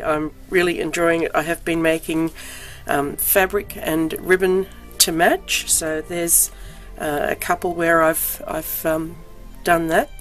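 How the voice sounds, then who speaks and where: Croaky-voiced woman chatting about crafts. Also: low voice. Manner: chatty, frequent ums, self-deprecating. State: croaky voice from illness. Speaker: crafting vlogger. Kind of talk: casual show-and-tell.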